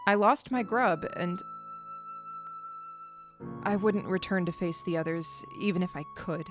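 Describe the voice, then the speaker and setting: A woman playing a stranded alien creature in an audio drama, stoic and reflective, with a deliberate, solemn way of telling things. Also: lowish voice, over music, natural voice. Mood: grieving, resigned.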